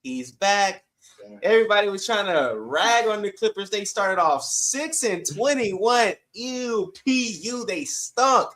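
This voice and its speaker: A man's voice: high voice, animated, slow, a bit bright. Man casually bantering about sports. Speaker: sports podcast co-host.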